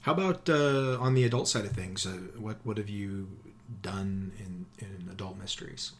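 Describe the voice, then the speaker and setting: A man hosting an interview podcast about books, relaxed and hesitant, with frequent filler sounds. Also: fairly low pitch, moderate pace. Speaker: podcast host.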